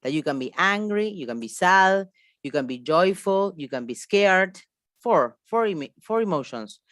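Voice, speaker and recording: A woman's voice: fairly low pitch, expressive, moderate pace, no room sound. Woman, Argentine Spanish accent, native Spanish speaker, teacher trainer, emphatic spontaneous lecture, repeated phrases, dry close sound. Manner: emphatic, repeats phrases, frequent check questions. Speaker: English teacher trainer, seminar presenter. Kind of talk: online seminar lecture, spontaneous.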